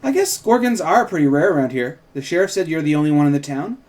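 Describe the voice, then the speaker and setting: A man plays a self-assured woman reporter in a script read-through. The voice sits fairly high and keeps an everyday, probing manner, as if questioning someone who is holding back. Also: expressive, quick pace, darkish tone, natural voice.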